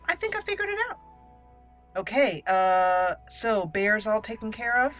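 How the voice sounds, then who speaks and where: A woman with a bright, crisp-edged voice talks through a video game as its host. Her delivery is casual, playful and excitable, chatting freely as she plays. Music runs underneath her voice. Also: medium pitch, measured pace. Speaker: gaming channel host. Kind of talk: casual let's play commentary.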